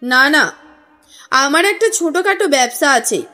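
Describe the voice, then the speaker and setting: A woman voicing an earnest, courteous businessman in an audio story, speaking in a formal, measured, explanatory way. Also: high-pitched, animated, bright, forceful tone, full character voice. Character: earnest, courteous businessman recounting his family history. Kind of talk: audio story character reading. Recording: music under the voice.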